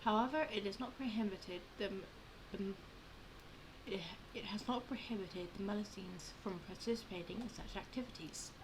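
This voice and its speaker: A woman hosting a game playthrough, commenting casually and reading text aloud in an informal, halting way. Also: mid-range voice. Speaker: gamer, channel host. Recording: clear background noise with game audio.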